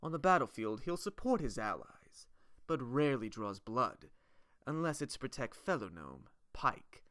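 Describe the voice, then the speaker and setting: A man voicing the bio of a vain, flirtatious gnome bard in a showy, theatrical manner with drawn-out flourishes. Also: mid-range voice, sing-song, a bit bright, dry recording, studio quality.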